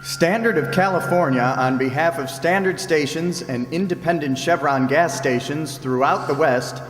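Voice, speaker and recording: A man's voice: on the high side, moderate pace. A man announcing a drama in the style of a 1940s radio show announcer. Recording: very echoey hall, music behind.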